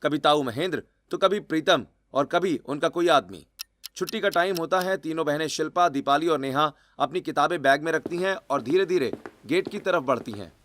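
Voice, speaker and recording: A man's voice: a little high, animated, moderate pace, a bit bright, a little hiss or hum. A man reading out scripted story narration.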